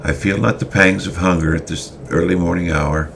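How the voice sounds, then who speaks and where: A man's voice: low-pitched, fairly even intonation, normal speed, vocal fry, fairly bright tone, pitched above the performer's own voice. A man voicing a brash fifteen-year-old boy who speaks in archaic formal phrases, over faint background noise. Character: bold teenage boy of fifteen.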